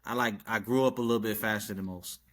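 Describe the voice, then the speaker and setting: A man from Arkansas talking as a podcast guest, relaxed and informal, with a habit of saying "yes, sir" and chuckling between stories. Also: fairly low pitch, fast. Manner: laid-back street talk, repeats "yes, sir", chuckles often. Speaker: podcast guest, tattoo artist and rapper. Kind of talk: casual interview chat.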